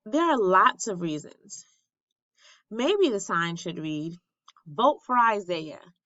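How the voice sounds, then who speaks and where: Woman voicing an eager, bright-voiced young schoolboy. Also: medium pitch, lively intonation, takes it slowly, dry recording, muffled recording. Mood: encouraging. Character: eager, loyal third-grade boy, supportive classmate who loves art.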